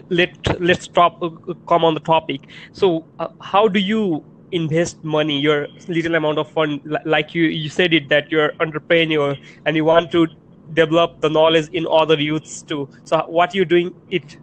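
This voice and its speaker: A man's voice: on the high side, flat delivery, poor audio. Nepali-accented man speaking careful English. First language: not English. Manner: careful, halting non-native phrasing. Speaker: college lecturer. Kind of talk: casual conversation.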